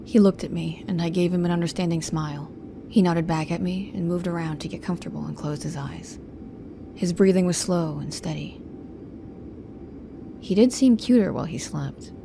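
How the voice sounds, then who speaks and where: A woman's voice: low voice, moderate pace, gravelly, long pauses, background noise. A woman playing a guarded, protective young woman, narrating an audio drama in the first person in a restrained, brooding, stern manner. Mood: reflective.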